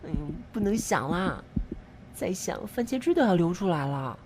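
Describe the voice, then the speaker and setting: A woman's voice: lowish voice, sing-song, moderate pace. A woman voicing an innocent, lovestruck young tomato with a bashful, wondering manner in an audio drama. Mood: dreamy, curious. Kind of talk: Chinese audio drama acting. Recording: noisy background with clicks.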